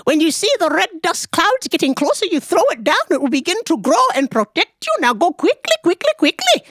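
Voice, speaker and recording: Storyteller as urgent, high-pitched old woman. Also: big pitch swings, a little fast, a bit bright, dry recording, clean studio sound, heavily acted. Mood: urgent warning. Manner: urgent, instructing, emphatic. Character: urgent old woman helper giving warnings in folktale.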